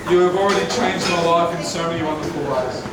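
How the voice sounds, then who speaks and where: Loud groom in an echoey hall. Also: male voice, fairly high pitch, quick pace, recorded from a distance, over a noisy crowd. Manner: heartfelt, with a few light jokes. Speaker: groom giving wedding speech. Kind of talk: prepared wedding speech. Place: wedding reception hall.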